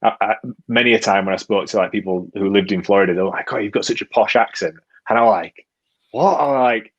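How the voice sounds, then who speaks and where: Fast-talking low-voiced young English man. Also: breathy edge, muffled recording. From England. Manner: fast, rambling storyteller. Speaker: podcast co-host. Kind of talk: casual podcast chat.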